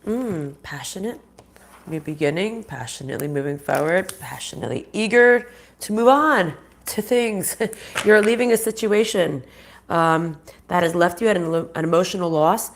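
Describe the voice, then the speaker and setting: A woman's voice: lowish voice, animated, normal speed. A woman with a nasal, stuffy voice from a sinus infection, giving a live oracle card reading in a chatty style with stops and restarts. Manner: chatty, stops and restarts, greets viewers by name. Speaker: spiritual reader and channel host.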